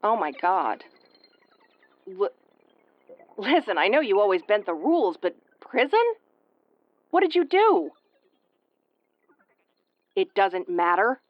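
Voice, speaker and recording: A woman's voice: medium pitch, very animated, takes it slowly, pauses often, no room sound. A tough tomboyish starship commander, played by a woman in a sci-fi audio roleplay. She is brusque and prickly with a caring streak, tripping over words when flustered. The sound is very dry and slightly muffled. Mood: shocked, worried. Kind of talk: intimate audio roleplay acting.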